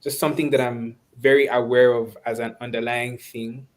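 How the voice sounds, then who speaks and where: A Nigerian man speaking reflectively and conversationally in an online webinar, often pausing and restarting as he searches for words. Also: medium pitch, little pitch movement. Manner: thoughtful, halting, searching for words. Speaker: webinar speaker, course instructor.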